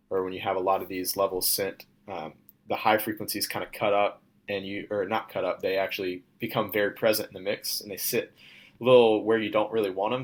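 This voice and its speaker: A young man, a student, walking through a demo of his software project in an informal, step-by-step way. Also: lowish voice. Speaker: student presenting a class project.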